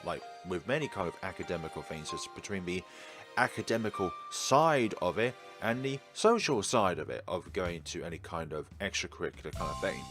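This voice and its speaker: A man's voice: low-pitched, expressive, fairly quick, fairly bright tone, soft background music. A man hosting a gaming video, giving rambling commentary and reading game text aloud. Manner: rambling, musing asides.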